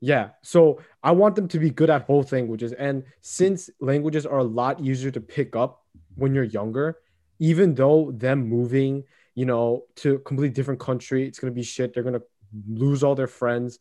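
A man's voice: mid-range voice, moderate pace, warm tone. A young man in casual chat, speaking thoughtfully and hesitantly with restarts and "you know". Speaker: friend in a group chat.

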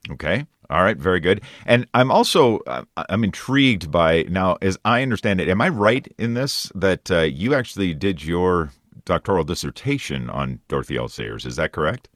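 Very deep-voiced male radio host, halting. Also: lively intonation, a bit bright, dry recording, studio quality. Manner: halting, rephrases questions repeatedly. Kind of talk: conversational interview.

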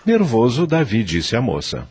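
A man narrating a radio drama in an expressive storytelling manner. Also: mid-range voice, moderate pace, background noise.

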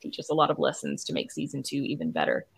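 A woman's voice: a bass voice, big pitch swings, quick pace, vocal fry, husky. A woman, a podcast creator, telling her story casually as an interview guest.

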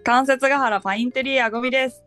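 A woman with a moderately high voice takes part as co-host in an informal chat among friends. Light music runs quietly behind her. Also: steady tone, fast. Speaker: podcast co-host.

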